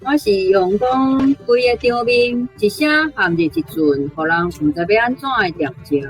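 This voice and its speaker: A woman's voice: medium pitch, lively intonation, moderate pace, soft background music. A woman with a Taiwanese accent explaining word choices as a language teacher, in an informal, conversational way. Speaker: language teacher giving feedback. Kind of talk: explanatory teaching with casual commentary.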